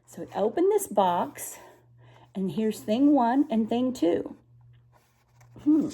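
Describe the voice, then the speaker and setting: A woman's voice: on the high side, big pitch swings, slow pace, mellow, husky. An older woman, a grandmother, reading a children's storybook aloud expressively, with little explanations for a child. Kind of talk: children's storybook narration with asides.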